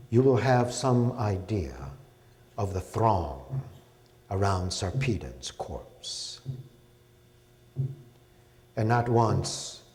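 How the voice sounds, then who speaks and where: A man in his sixties recites epic verse to a hall. His voice is fairly low and very slow, with solemn, deliberate phrasing. Long reverb trails behind each phrase. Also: warm tone. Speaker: professor and translator reading. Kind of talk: dramatic poetry recital, epic narration. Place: indoor hall, public reading.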